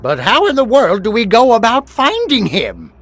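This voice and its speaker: A man's voice: very high voice, wildly swooping pitch, normal speed, dry recording, full character voice. A man playing a grumpy, fretful old deity in a video game, muttering and crotchety. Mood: puzzled. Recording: loud game music underneath.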